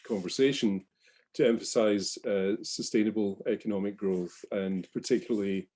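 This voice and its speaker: Male panelist, deep warm voice, measured answers. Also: steady tone.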